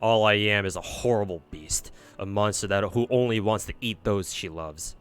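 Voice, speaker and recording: A man's voice: on the low side, clean tone, faint music behind, close to an everyday voice. Brief: tormented female fairy beast, shaken and self-loathing, a man voices her. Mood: grieving. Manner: shaken, broken, halting.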